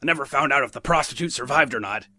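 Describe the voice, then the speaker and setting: A man's voice: mid-range voice, fast, pushed and bright. A man playing a boastful, unhinged police chief who rambles through crude stories in a comedic cartoon parody. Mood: gleeful storytelling. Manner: rambling, gleefully crude, blustering. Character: boastful, unhinged old police chief telling lewd tales.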